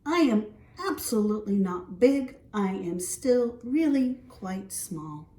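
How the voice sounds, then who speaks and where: A woman's voice: medium pitch, animated, slow pace, dark tone. A woman voicing a small, stubborn, self-assured little girl in a storybook, speaking emphatically. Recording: roomy echo, distant from mic.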